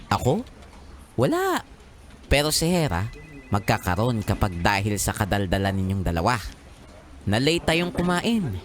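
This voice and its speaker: Flamboyant Filipino office pal played by a man, slow cheeky delivery, animated pitch, radio-drama style. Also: a little hiss or hum. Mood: playful bossy. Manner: campy, playful, drawn-out teasing. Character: flamboyant, teasing male office coworker and friend. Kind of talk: Filipino audio drama acting.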